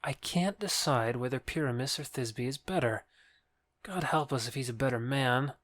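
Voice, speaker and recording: A woman's voice: very deep voice, normal speed, no room sound. A woman playing a mocking, offhand courtier in an audio drama. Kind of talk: audio drama Shakespeare in modern English.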